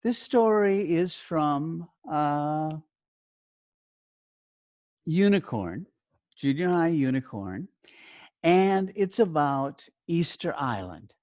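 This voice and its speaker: Man teaching, very animated pitch, unhurried pace. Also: dry recording, clean studio sound. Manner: deliberate, short phrases with frequent check questions. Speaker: teacher trainer giving a lesson. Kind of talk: instructional talk to learner teachers.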